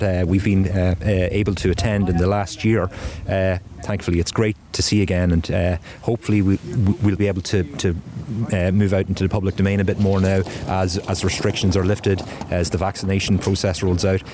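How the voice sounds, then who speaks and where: A man's voice: low voice, fairly even intonation, brisk, few pauses, crowd noise. A man from a rescue helicopter crew, giving an outdoor interview and often repeating words as he starts sentences. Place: outdoor event with crowd.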